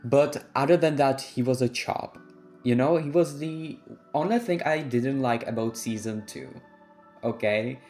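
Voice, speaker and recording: A man's voice: mid-range voice, unhurried, darkish tone, soft background music. A young man with a Czech accent giving his opinions in a casual, sassy, slangy rant as a vlogger.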